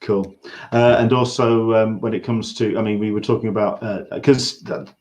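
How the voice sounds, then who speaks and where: Rambling, halting English man hosting. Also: on the low side, fairly even intonation, a little mellow. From England. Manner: rambling, halting, self-interrupting. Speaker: interview show host. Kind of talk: casual livestream interview chat.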